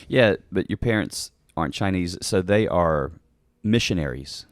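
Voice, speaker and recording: A man's voice: low voice, lively intonation, unhurried, no echo, clean studio sound. A man hosting a podcast, casually guiding his guest with prompting questions. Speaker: podcast host. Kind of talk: interview questions, conversational.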